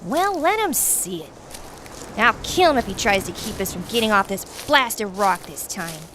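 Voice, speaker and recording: A woman's voice: mid-range voice, big pitch swings, moderate pace, lightly acted. An actress playing a hard-bitten, bossy, brash female crook with slangy speech in an old-time radio drama. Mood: bossy irritated. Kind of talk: 1940s radio drama acting. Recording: clear background noise.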